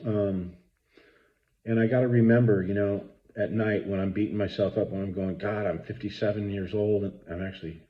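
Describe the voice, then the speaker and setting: A man's voice: on the low side, fairly even intonation, moderate pace, lo-fi sound. A man, talking earnestly and rambling with many fillers in a casual spoken reflection. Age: 50s. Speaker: vlogger.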